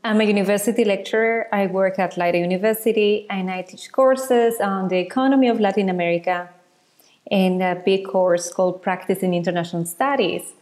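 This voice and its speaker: A woman's voice: medium pitch, fairly even intonation, fairly quick, a little mellow. A woman from Ecuador, a university lecturer speaking conversationally as a podcast guest. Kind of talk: conversational interview talk.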